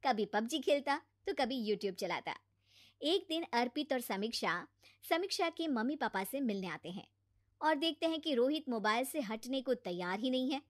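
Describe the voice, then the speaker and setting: A woman's voice: high voice, animated, moderate pace, no room sound, studio quality. A woman reading a scripted children's story as the narrator.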